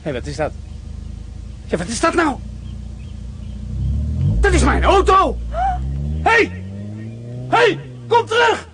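A man's voice: very high voice, huge pitch swings, slow, long pauses, noisy background, full character voice. An actor playing a blustering, hot-tempered man who curses in a radio play. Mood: alarmed. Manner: blustering, cursing. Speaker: Mr Thomas, a man whose car is stolen. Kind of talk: radio drama acting.

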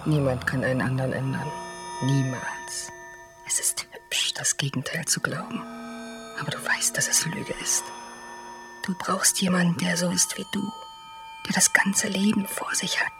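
An actress playing the sceptical, blunt and disillusioned confidante of a princess in a radio play. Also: female voice, on the low side, huge pitch swings, normal speed, over music. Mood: admonishing. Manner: whispery, pointed, disillusioned. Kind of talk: German radio play acting.

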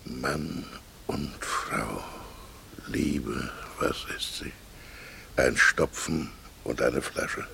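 An actor playing a brooding, sensual middle-aged husband lost in memory, murmuring haltingly in a German radio drama. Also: male voice, sharp tone, in a whisper, full character voice. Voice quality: very deep, gravelly. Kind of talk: literary radio drama monologue.

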